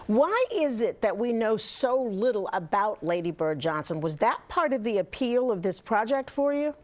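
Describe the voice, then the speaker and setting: A woman's voice: fairly high pitch, big pitch swings, moderate pace. A woman news anchor presenting and asking questions in a broadcast interview.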